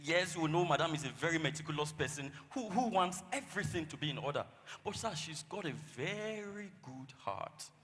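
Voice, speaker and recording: A man's voice: a little high, expressive, fairly quick, hardly any pauses, fairly bright tone, a little hiss or hum. A man acting a nervous, loyal servant in a stage play, stammering and deferential. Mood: nervous, reassuring. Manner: stammering, repeats words, deferential. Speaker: stage actor. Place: theatre stage in a hall. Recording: reverberant hall.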